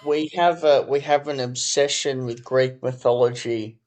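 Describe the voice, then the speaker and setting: Flat-toned, measured male tech executive. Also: medium pitch. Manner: measured and thoughtful, many hedges like "I think". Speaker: company co-founder and CEO, podcast guest. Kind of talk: conversational interview answers.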